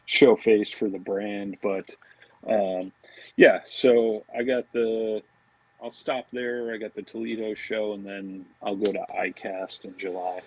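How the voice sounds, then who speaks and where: A man chatting casually as a podcast guest, talking in a loose, halting way. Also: lowish voice, husky, no room sound, highs cut off. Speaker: podcast guest, fishing brand marketing director. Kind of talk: casual chat.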